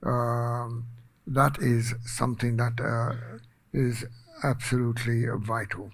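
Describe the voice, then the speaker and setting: Soft-spoken older guy on a panel, talks slowly, lots of uhs. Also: mid-range voice, fairly even intonation, vocal fry. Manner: soft-spoken, hesitant, many fillers. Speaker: panel speaker. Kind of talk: panel talk.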